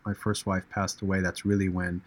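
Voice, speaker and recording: A middle-aged American man, a charity founder, speaking earnestly and in measured phrases as a guest in a podcast interview, with frequent fillers. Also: low voice, little pitch movement. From United States.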